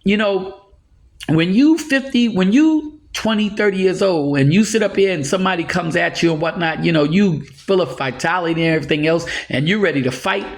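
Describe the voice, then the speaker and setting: A man's voice: high-pitched, fairly quick. Earnest older man giving life advice. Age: 60s. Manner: earnest, repetitive, chuckling now and then. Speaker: motivational speaker. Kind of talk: casual advice monologue.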